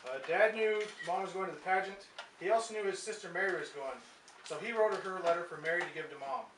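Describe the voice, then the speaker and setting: A woman's voice: lowish voice, fast talker, a bit bright. An American woman reading a scripted family story aloud as a puppet show narrator. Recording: echoey, very noisy room recorded from far off.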